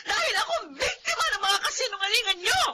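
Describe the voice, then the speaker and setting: A woman's voice: very high voice, animated, fast talker, very noisy. An actress playing a bitter, wounded woman out for revenge in a Filipino melodrama, with anguished, emphatic delivery. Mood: anguished, accusing. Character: bitter, wounded, vengeful woman betrayed in love.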